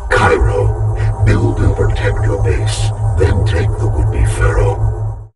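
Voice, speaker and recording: An actor playing a military briefing officer in a video game, with a terse delivery. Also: male voice, deep voice, lively intonation, slow pace, far from the mic, loud music behind the voice.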